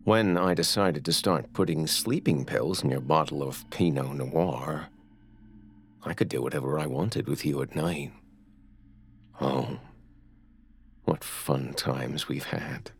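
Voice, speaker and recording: A man playing a sadistic, possessive kidnapper and killer in a horror audiobook, speaking with menacing, mock-affectionate sweetness and a gravelly voice. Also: rumbling low, slow, pauses often, slight background noise, exaggerated voice. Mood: smug. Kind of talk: dramatic horror audiobook acting.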